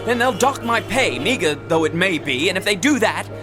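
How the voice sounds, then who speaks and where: An actor playing a harried, pompous office clerk in a radio drama, complaining in wordy, formal speech. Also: male voice, high voice, sing-song, moderate pace, over music. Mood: frantic, indignant. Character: fussy, harried, put-upon male office clerk, self-pitying and irritable.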